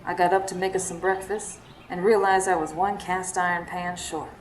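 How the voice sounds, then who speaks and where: A woman's voice: lowish voice, flat delivery, moderate pace, close to an everyday voice, low-quality recording. Woman acting as devoted radio drama heroine. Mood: reflective. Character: Lila, devoted, tough Southern young woman narrator. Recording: live stage recording, faint background noise.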